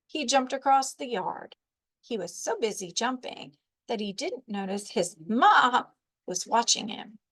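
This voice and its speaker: Slow, high-voiced woman reading a storybook. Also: expressive, a bit bright. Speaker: librarian storyteller. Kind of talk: children's storybook read-aloud.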